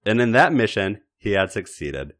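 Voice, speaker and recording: A man reading a story aloud in a dramatic, expressive narrating style, with theatrical emphasis and deliberate pauses. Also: on the low side. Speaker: podcast host reading fan fiction.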